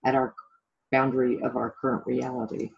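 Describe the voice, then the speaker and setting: A woman's voice: rumbling low, level tone, brisk, gravelly, darkish tone, breathy edge, muffled recording. A middle-aged American woman from the South of the United States talking reflectively about her own life as an interview guest. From southern United States (Florida).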